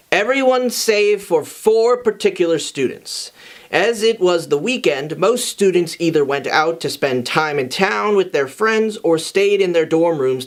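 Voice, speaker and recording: A man with a voice a little higher than average reads a story aloud as host. His delivery is informal and conversational, like someone sharing fan fiction with viewers. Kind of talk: informal scripted story narration.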